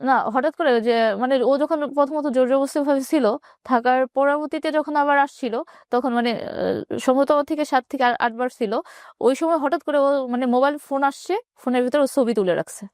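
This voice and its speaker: Young bangladeshi woman halting through her story, kinda high voice, dry close mic. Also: no echo. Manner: hesitant, halting, with many fillers and restarts. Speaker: guest telling her life story. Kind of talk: personal confession.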